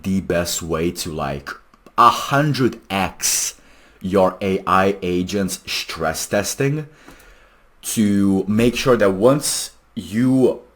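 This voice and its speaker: A man presenting a casual explainer video in an informal, emphatic, salesy way with some slang. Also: on the low side. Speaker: AI phone agent developer presenting a video.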